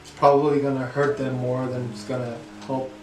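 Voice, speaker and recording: A young man whose first language is English and second is Korean, speaking as an interview guest with many fillers, halting and soft about painful memories. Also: mid-range voice, level tone, roomy sound, distant mic, soft background music, low-quality recording. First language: Korean is his second language; English first. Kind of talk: candid personal interview.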